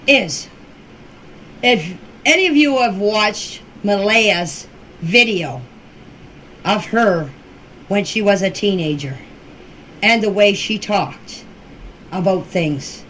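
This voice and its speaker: Slow-talking older woman, noisy voice message. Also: medium pitch, expressive, fairly bright tone. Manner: deliberate, halting, emphatic short phrases. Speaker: relative recounting events. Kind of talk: informal personal account, answering questions. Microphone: voice clip recorded for group chat.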